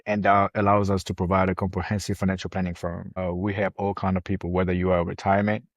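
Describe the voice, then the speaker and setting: Low, even-toned man. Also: fast, dry recording, clean studio sound. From France. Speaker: podcast guest, financial planner. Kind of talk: conversational interview answers.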